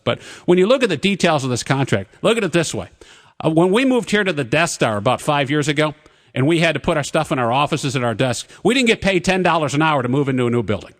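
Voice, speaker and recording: A man hosting a talk radio show in the studio, who reads contract terms off in a rapid list and talks over his guests. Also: medium pitch. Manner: pushy, interrupts guests. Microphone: studio broadcast mic.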